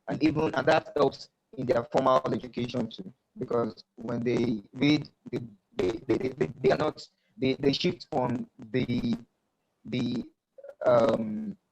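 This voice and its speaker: A man with a Nigerian accent speaking as a poet on a panel, explaining at a measured pace with many fillers and restarts. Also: medium pitch, gravelly, a little mellow, rough recording. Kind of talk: panel discussion talk. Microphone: video call.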